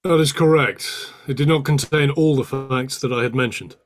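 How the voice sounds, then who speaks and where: A man's voice: medium pitch, moderate pace, close to an everyday voice. A man playing an earnest disaster survivor giving testimony, recalling details carefully with slight hesitation, in a table read of a courtroom play. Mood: earnest, recollecting.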